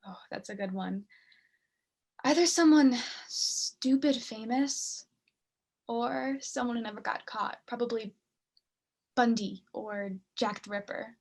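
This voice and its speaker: Date-night lead, young female stage actor: morbidly curious, creaky, slightly high, casual and halting. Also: a little mellow, exaggerated voice. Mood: curious, chatty. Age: young adult. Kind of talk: student stage acting, naturalistic dialogue.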